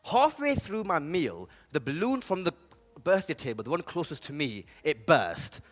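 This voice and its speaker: A man's voice: on the high side, big pitch swings, moderate pace. A man doing comic storytelling as a stand-up comedian.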